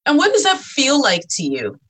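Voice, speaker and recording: A woman's voice: high voice, huge pitch swings, moderate pace, a bit bright, slight background noise. A woman co-hosting a podcast interview, asking thoughtful, probing questions. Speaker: podcast co-host.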